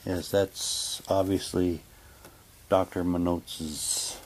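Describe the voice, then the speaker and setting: A man chatting casually while reading documents aloud, haltingly, with muttered asides to himself. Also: deep voice, flat delivery, noisy background. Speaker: reviewer unboxing an audio drama's props.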